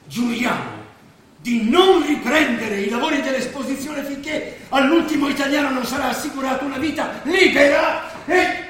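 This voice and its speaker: An actor playing a solemn, idealistic old professor in a stage drama, speaking with grave, declamatory rhetoric. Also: male voice, very high-pitched, animated, moderate pace, a bit bright, distant mic. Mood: grave, resolute. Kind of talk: theatrical Italian stage oratory. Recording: echoey stage hall, faint noise.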